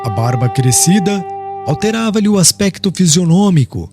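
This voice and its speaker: A man reading a literary audiobook narration in a solemn, formal, expressive manner. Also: sits high, normal speed, music in the background.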